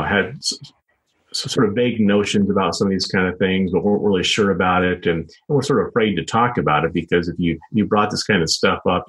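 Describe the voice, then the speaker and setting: An American man explaining his views as an interview guest, in halting, repetitive phrasing full of filler phrases and hedges. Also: deep voice, breathy edge, rough recording. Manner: halting, repetitive phrasing with many "you know" and "sort of" hedges. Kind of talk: informal explanatory interview answers.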